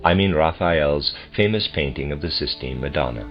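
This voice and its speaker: A man with a low voice reads a lecture aloud in a measured, formal way. His phrasing is deliberate, as in an audiobook. The recording is dull and lo-fi, with music clearly playing behind him. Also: animated. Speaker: lecture reader. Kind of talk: audiobook-style reading of a translated lecture.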